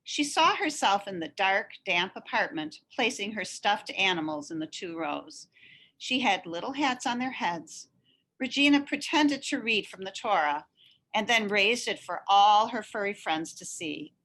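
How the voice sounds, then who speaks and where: A woman reading a children's story aloud in an expressive, animated narrating style. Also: medium pitch, measured pace, poor audio. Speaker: story reader.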